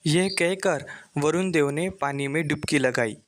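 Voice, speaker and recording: A man's voice: a little high, unhurried. A man narrating a scripted children's folktale as the storyteller.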